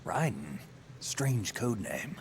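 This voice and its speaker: An actor playing a cool, guarded veteran soldier in a video game. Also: male voice, fairly low pitch, sing-song, slow, a little hiss or hum, heavily acted. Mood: wry.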